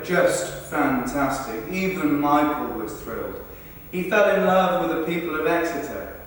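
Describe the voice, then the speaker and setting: A man's voice: a little high, lightly acted. A man performing verbatim theatre in rehearsal, playing an enthusiastic, starstruck football fan recounting a memory. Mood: delighted. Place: large reverberant rehearsal space, recorded from afar. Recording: very echoey hall, distant mic, faint noise.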